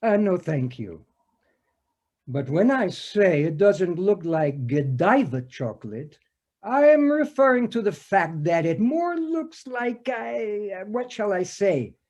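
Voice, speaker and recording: A man playing a pompous, deliberate police detective in a comic stage scene, speaking formally with hesitant, wordy phrasing. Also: high-pitched, very animated, a little mellow, exaggerated voice. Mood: suspicious. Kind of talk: comic readers theater scene.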